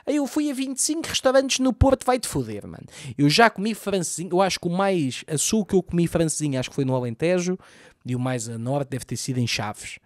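A man's voice: on the high side, brisk, no echo, clean studio sound. Profane, ranting Lisbon Portuguese man. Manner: profane, ranting, animated with big arm gestures. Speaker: comedian podcast host. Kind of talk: solo podcast monologue. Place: small room at home with window. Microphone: boom mic close to mouth, wearing headphones.

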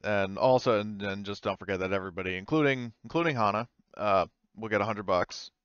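A man's voice: fairly low pitch, fairly quick, fairly bright tone, smooth, no echo, lo-fi sound, natural voice. A man playing a frank female colony administrator. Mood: businesslike.

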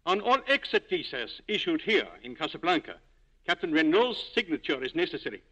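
An actor playing a stern, arrogant Nazi major, formal and imperious, in a 1940s radio drama. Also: male voice, a little high, big pitch swings, fairly quick, pushed and bright, full character voice. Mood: coldly threatening. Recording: dull 1940s radio recording.

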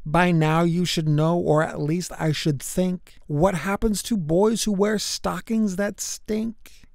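A woman's voice: on the low side, steady tone, slow, vocal fry, a little mellow, no room sound, clean studio sound. A woman voicing a frank, long-suffering pet dachshund in a storybook reading. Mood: frank, weary. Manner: frank, reasoning.

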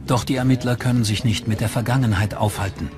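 A man's voice: medium pitch, steady tone, quick pace. A man reading scripted true crime documentary narration in German-dub style as the narrator. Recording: music bed under voice. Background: prominent music.

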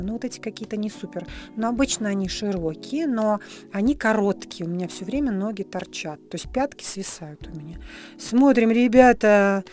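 A middle-aged woman, a little hoarse, giving a chatty, frank hotel and city tour on her phone, often dropping into whispery asides. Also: mid-range voice, darkish tone. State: slightly hoarse. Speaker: vlogger, psychologist. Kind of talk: casual travel vlog, room tour. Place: hotel and city streets in and out of doors.

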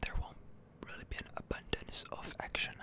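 A person whispering ASMR commentary over a video game, rambling a little and repeating words, close to the microphone. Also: fairly quick. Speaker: gaming streamer, ASMR video host.

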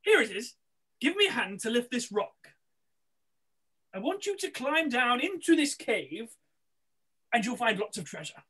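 Woman playing a high-pitched scheming pantomime villain. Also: very animated, unhurried, long pauses, fairly bright tone, slightly rough, heavily acted. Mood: coaxing. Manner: theatrical, insistent. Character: scheming, impatient male villain posing as an uncle. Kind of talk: amateur pantomime read-through. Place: indoors at home, on a video call.

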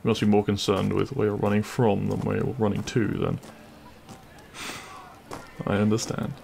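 Man acting a gravelly, flirtatious bandit prince. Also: on the low side, monotone, moderate pace, noisy background. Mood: caring.

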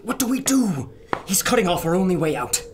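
An actor playing a fretful, learned doctor in a pulp radio adventure drama. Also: male voice, high voice, very animated, fairly quick, noisy background. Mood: anxious. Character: nervous scholarly doctor, expedition's expert.

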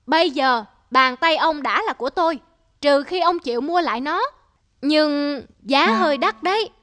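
A woman voicing a clever young male woodcutter in an animated folk tale, steady and patiently firm. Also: high-pitched, normal speed, no room sound, studio quality. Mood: triumphant.

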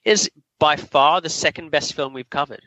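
A man's voice: a little high, normal speed, sharp tone, no room sound, muffled recording. A man co-hosting a podcast, giving opinions in casual, conversational review chat. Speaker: podcast co-host.

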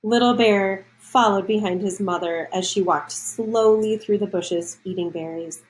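A woman's voice: medium pitch, a little slow, poor audio. A woman reading a children's storybook aloud, adding explanations and questions for young listeners.

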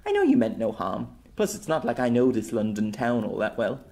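A man voicing a playful, cheeky young woman in a storybook reading, with a high, cooing, wheedling manner. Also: wildly swooping pitch, fairly quick, creaky, dark tone, heavily acted. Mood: teasing.

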